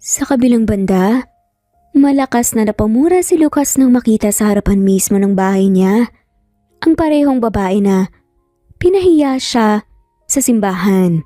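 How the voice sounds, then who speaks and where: A woman's voice: fairly high pitch, lively intonation, moderate pace, pauses often, dark tone, dry recording, clean studio sound, faint music behind. A woman with a Filipino accent reading a scripted, dramatic romance story as narrator.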